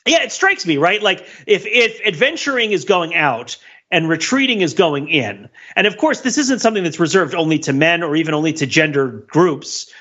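A man's voice: fairly high pitch, lively intonation, normal speed, pushed and bright, husky, highs cut off. A man co-hosting a podcast in casual discussion, talking in a wordy, intellectual way and often checking in with "right?". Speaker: podcast co-host.

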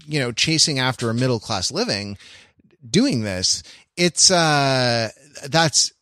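A man co-hosting a casual podcast talks through an idea out loud, stopping and restarting his sentences as he goes. His voice sits at an ordinary middle pitch and is recorded close up in a dry, dead room. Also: expressive, dry recording. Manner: thoughtful, halting explanation with many restarts. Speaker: podcast co-host. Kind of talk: casual chat.

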